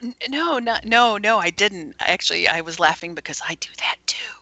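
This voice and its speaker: A woman chatting on a live talk show, giggly and expressive. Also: medium pitch, a little fast. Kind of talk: casual chat.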